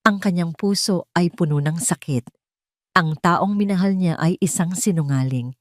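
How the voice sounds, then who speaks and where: A woman narrates a scripted drama. Her voice sits low for a woman, and the recording is dry, with no room around it. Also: normal speed, dry recording. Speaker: story narrator.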